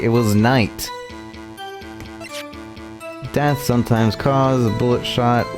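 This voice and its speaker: A man streaming a video game and reading its dialogue aloud theatrically, sometimes haltingly. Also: on the low side. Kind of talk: dramatic read-aloud game playthrough.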